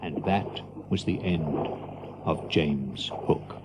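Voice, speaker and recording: A man's voice: rumbling low, slow, heavy background noise. A man narrating a children's adventure story in a dramatic, scripted storybook style. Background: music.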